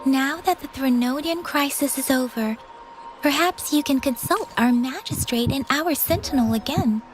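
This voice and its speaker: An earnest young woman guide from an anime game, as an actress plays her, explains things politely. The voice is high and gentle, with a thoughtful air and faint music underneath. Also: normal speed, natural voice. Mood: helpful, earnest.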